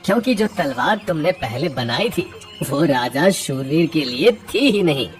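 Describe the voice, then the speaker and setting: A man performs a calm rain god from a cartoon fable. He speaks slowly in a kindly, reassuring manner over loud music. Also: on the high side. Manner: measured, reassuring.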